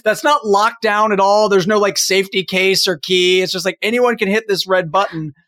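A man's voice: high-pitched, fairly even intonation, moderate pace, a bit bright. A man co-hosting a podcast, chatting informally and wryly about a TV show. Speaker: podcast co-host. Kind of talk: casual panel chat about a TV show.

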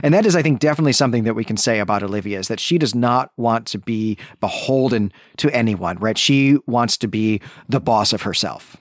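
A man with a mid-pitched voice talks through a literary argument as a podcast co-host. He reasons aloud, qualifies himself and often checks his points as he goes. The sound is dry, with very little room in it. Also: animated, a little fast, hardly any pauses, no room sound. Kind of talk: conversational literary discussion.

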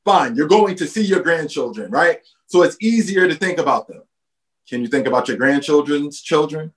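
A man with a somewhat raised pitch makes an earnest, persuasive case in conversation. He leans on rhetorical questions to press his point. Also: lively intonation, moderate pace. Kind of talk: casual conversation.

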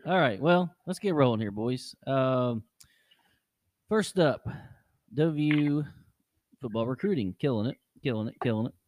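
A man's voice: mid-range voice, expressive, a little slow, darkish tone. A man hosting a casual sports podcast, loose and chatty, repeating words for emphasis. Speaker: podcast host.

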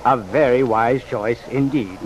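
An actor playing a smug, teasing small-town gentleman in an old-time radio drama, speaking in a mannered, dryly needling way. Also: medium pitch, unhurried, slight background noise, close to an everyday voice, poor audio. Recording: vintage radio recording with crowd murmur.

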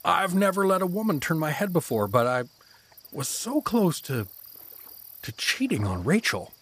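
A man's voice: sits high, very animated, normal speed, fairly bright tone, a little hiss or hum, full character voice. A man playing a bumbling, good-natured married everyman hero, hesitant and folksy, in a fantasy comedy audio drama. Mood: guilty.

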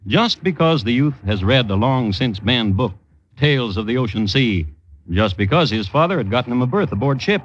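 A man narrating a 1950s radio drama in a wry, formal, measured storytelling manner. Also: mid-range voice, lively intonation, breathy edge, no echo. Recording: dull old radio recording.

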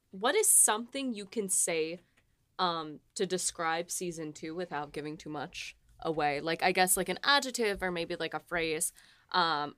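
A woman's voice: lowish voice, fairly bright tone, no room sound. A woman co-hosting a podcast in a casual, conversational way. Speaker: podcast co-host.

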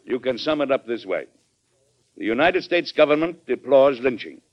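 An actor playing a forceful, folksy American president in an old-time radio drama, speaking emphatically. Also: male voice, mid-range voice, big pitch swings, moderate pace. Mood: stern, indignant. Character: forceful, folksy American president, firm yet good-humored. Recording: dull vintage radio recording.

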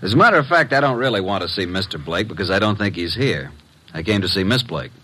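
An actor playing a breezy, wisecracking private detective's sidekick with an American accent, blunt and folksy, in a radio drama. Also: male voice, low voice, sing-song, fast talker, bright, forceful tone, full character voice. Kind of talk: old-time radio drama acting.

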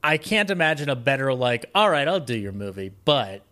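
American man, medium pitch, casual podcast guest, rambling, enthusiastic, film-buff chat. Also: sing-song, fairly quick, sharp tone. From Colorado, United States. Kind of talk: casual interview chat about films and his life.

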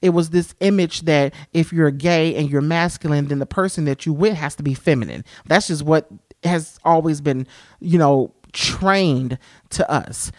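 Man podcast host, high-pitched voice, candid solo talk, dry close sound. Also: a little slow, no room sound. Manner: frank and expressive, with frequent "you know" fillers.

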